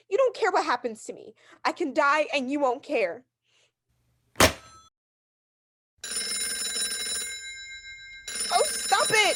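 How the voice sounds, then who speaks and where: A woman acting a high-pitched, panicky neurotic woman. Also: fairly bright tone, full character voice. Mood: frantic, indignant. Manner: agitated, pleading, breaking into outbursts. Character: panicky neurotic bedridden woman, demanding and self-pitying. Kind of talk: 1940s radio thriller acting.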